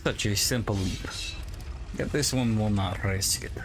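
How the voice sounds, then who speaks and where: Man as a slow, low-voiced proud cat-folk adventurer. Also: noisy background. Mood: aloof, musing. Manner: measured, formal, stilted speech. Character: aloof, proud cat-folk adventurer speaking in third person. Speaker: gamer playing in character. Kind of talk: in-character roleplay game commentary. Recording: game music behind the voice.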